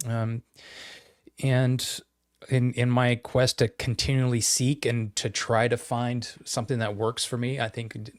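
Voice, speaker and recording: Podcast co-host: man, late 40s, from Idaho, earnest and halting, dry close sound. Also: mid-range voice, flat delivery, dry recording. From Idaho, United States. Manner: earnest, halting, searching for words. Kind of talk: casual conversation. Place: home office.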